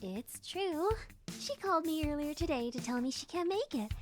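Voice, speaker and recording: High-pitched, bitter teenage girl. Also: lively intonation, moderate pace, faint music behind. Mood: bitter, venting. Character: fiery, hurt, bitter teenage girl, sharp-tongued student. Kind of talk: voice acting for a dating-sim game.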